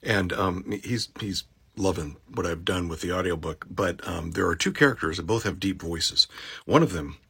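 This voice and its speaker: Deep, gravelly man chatting casually. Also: moderate pace, bright, forceful tone. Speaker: audiobook narrator and voice actor. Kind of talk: casual explanatory chat.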